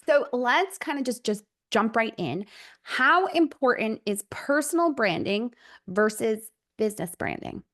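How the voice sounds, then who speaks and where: A woman's voice: fairly high pitch, expressive, a little slow, a bit bright. A woman hosting a business podcast, friendly and affirming, who introduces her guest and asks questions. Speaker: podcast host. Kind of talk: podcast interview.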